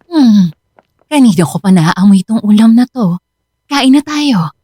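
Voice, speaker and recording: An actress playing a cool, middle-aged Filipina mother with a husky voice in a radio drama. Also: fairly high pitch, animated, unhurried, mellow, no room sound. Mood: eager.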